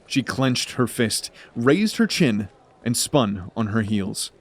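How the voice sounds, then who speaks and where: A man narrating a scripted fantasy story in a measured, dramatic storytelling manner. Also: medium pitch, sing-song.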